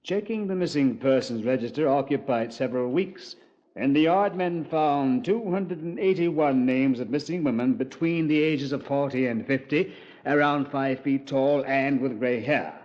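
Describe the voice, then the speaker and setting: A man's voice: medium pitch, normal speed, darkish tone, close to an everyday voice. Man acting a composed British police commander, muffled. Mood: composed, recounting. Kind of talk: 1950s radio drama, conversational narration. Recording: muffled vintage radio recording.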